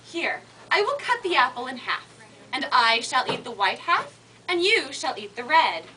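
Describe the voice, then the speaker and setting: A wicked queen disguised as an old peddler woman, acted out by a woman storyteller, coaxes in a sing-song way. The voice is high and swoops a lot. It is picked up from a distance with light noise behind it. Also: very animated, normal speed, sharp tone, heavily acted. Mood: sly coaxing.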